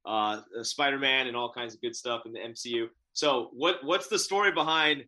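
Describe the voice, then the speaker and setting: Bright-voiced upbeat male podcast host. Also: mid-range voice, brisk. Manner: enthusiastic, laughs often, many restarts. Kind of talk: casual interview chat. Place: home office in the Bay Area. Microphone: video call.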